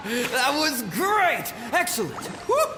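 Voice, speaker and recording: A man's voice: extremely high pitch, sing-song, takes it slowly, reverberant, background noise, exaggerated voice. A man playing a boisterous, gleeful, battle-hungry swordmaster spirit in an anime game, boastful and exhilarated. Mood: exhilarated relief.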